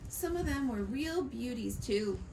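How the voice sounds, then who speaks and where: A woman's voice: on the high side, lively intonation, a little slow, vocal fry, background noise. Woman voicing a folksy old burrowing animal, emphatic. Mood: regretful, earnest. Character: repentant old digging animal, folksy and grateful. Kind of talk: storybook character voice.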